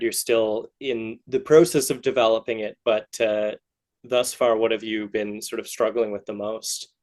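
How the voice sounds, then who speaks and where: A man hosting a casual interview, tentative and encouraging in the way he talks. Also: mid-range voice. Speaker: podcast interviewer.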